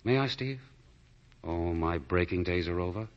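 An actor playing an earnest, tender escaped prisoner in love, in a 1940s radio drama. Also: male voice, low-pitched, lively intonation, moderate pace. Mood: grave, pleading. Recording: muffled 1940 radio broadcast with music.

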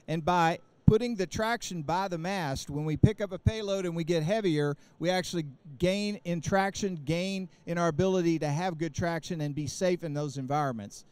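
Man, 40s, trade show presenter, handheld mic, smooth clear voice, dry close sound, technical explaining, fillers and restarts. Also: a little high, normal speed, hardly any pauses, a little mellow, no room sound. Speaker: company presenter. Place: large indoor trade show booth. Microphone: handheld microphone.

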